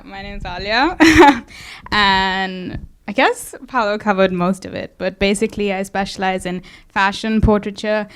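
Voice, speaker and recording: A woman's voice: medium pitch, smooth. A young woman from India giving a casual talk about her photography, hesitant and full of fillers. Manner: hesitant, many fillers, light laughs. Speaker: guest speaker, photographer. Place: event space.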